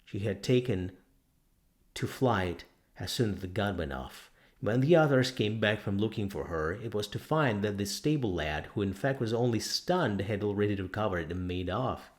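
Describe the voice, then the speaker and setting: A man's voice: lowish voice, animated, moderate pace. A man reading a novel aloud as scripted dramatic narration. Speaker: audiobook narrator.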